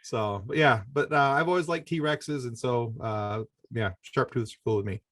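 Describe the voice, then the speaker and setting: A man's voice: medium pitch, moderate pace, fairly bright tone, dry recording. A middle-aged man talking casually and informally as a guest in a podcast interview.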